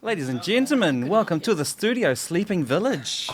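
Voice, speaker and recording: A man's voice: high voice, big pitch swings, moderate pace, very noisy. A man hosting a casual radio interview in a studio, upbeat and chatty. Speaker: radio host.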